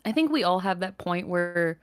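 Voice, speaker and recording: A woman's voice: fairly low pitch, animated, normal speed, clear, resonant tone, no room sound, studio quality. A woman chatting casually as a voice actor guest, giggly, playful and blunt. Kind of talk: casual chat, answering interview questions.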